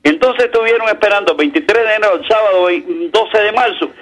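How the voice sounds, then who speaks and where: A Cuban man with a high voice gives his political opinions as a caller on talk radio. His delivery is colloquial and sardonic, leaning on street idioms and pointed sayings. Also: a little fast, fairly bright tone.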